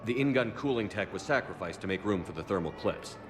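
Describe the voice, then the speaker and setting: An actor playing a steady human soldier commander in a video game cutscene, speaking in a calm, dry, deadpan way. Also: male voice, fairly low pitch, brisk, noisy background, close to an everyday voice.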